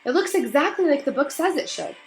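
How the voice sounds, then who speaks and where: A woman voicing an earnest, nervous, bookish schoolgirl witch with brisk, precise speech in a storybook reading. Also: sits high, animated, pitched above the performer's own voice. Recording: roomy echo, faint music behind.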